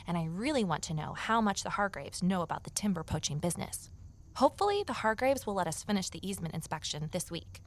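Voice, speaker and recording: A woman's voice: mid-range voice, natural voice. A woman playing an earnest, stubborn young amateur sleuth in an audio drama, narrating in a natural, conversational, wry way. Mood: determined. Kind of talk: first-person audio drama narration and dialogue.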